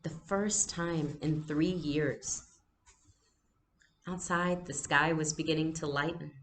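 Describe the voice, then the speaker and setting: A woman reading a children's novel aloud as a teacher would, with expressive narration. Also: low-pitched, slow, slight background noise.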